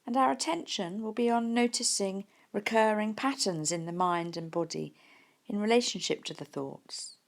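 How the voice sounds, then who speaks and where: A woman leading a guided mindfulness meditation in a gentle, unhurried manner with soft pauses. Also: mid-range voice, vocal fry. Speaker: meditation teacher.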